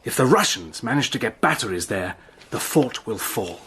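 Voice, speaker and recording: A brisk commanding officer, lively. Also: male voice, medium pitch, husky, full character voice. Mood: eager. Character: Dick Hannay, commanding British officer, brisk and resolute. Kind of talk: radio drama acting.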